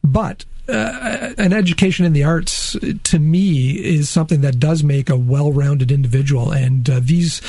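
A middle-aged man with a slightly raspy voice, an interview guest from a school, talking with many hesitations and restarts. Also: fairly high pitch, measured pace, hardly any pauses, dark tone, no room sound, clean studio sound. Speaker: teacher at an arts-focused high school.

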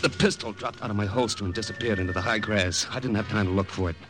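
Male actor as tense American secret agent, low voice, clipped dramatic delivery, vintage radio drama with loud music. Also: fast talker, natural voice. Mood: grim, tense. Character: tense American male wartime agent recounting his jungle mission. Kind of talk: 1950s radio drama acting.

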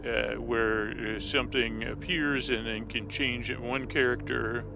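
Male sleep-podcast narrator, gravelly and monotone, drowsy, meandering and halting, music heavy over the voice. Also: mid-range voice. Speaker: podcast host. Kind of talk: rambling sleep-story narration. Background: prominent music.

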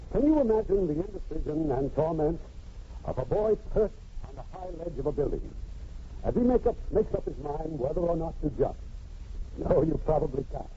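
A man giving a formal, measured, dramatic scripted introduction as host of a 1950s radio show. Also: fairly high pitch, warm tone. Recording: muffled, hissy 1950s radio recording.

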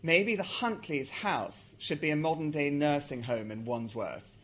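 A man's voice: a little high, a little slow, fairly bright tone. A man with an English accent, from South Africa, talking about his novel and reading from it aloud. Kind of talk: author talk and reading aloud.

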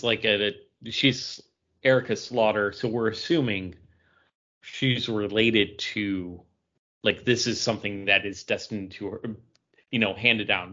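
Male podcast co-host, low register, wry and conversational, slightly muffled recording. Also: sharp tone. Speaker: podcast co-host reviewing comics. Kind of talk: casual panel discussion. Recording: dull lo-fi audio.

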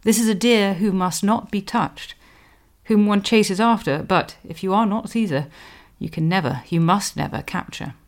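A woman presenting a scripted history explainer in a measured, scholarly manner, giving emphasis to quotations. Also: medium pitch. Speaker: podcast host, historian.

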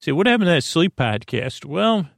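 A man with a creaky voice hosting a sleep podcast, rambling in a lulling, hesitant way as he wanders through tangents, repeating and interrupting himself. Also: on the high side, big pitch swings, normal speed, dry recording, studio quality. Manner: lulling, soothing delivery. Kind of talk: rambling, tangent-filled bedtime podcast monologue.

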